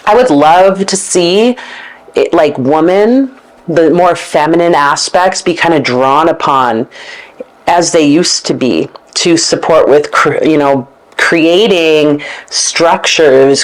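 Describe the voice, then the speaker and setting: A woman hosting a meandering spoken monologue, reading passages aloud and thinking out loud with pauses and fillers. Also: low voice, rough recording. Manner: meandering, searching, with fillers and self-corrections; reads passages aloud from a book. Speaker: esoteric channel host.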